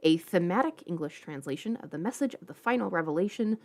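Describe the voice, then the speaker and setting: A woman's voice: on the low side, expressive, fast talker. A woman hosting a livestream, chatting casually and playfully with informal asides. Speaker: livestream host.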